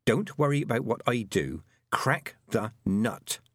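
A man voicing a stubborn, quick-witted nine-year-old girl in a storybook, plain-spoken and defiant. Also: mid-range voice, huge pitch swings, no echo, studio quality, voice pushed up. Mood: quietly defiant.

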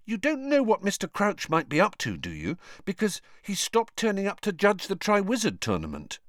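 Reader voicing a teen schoolboy, a bit higher than the narration, storybook. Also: huge pitch swings, normal speed, no echo, studio quality. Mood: coaxing. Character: curious teenage schoolboy.

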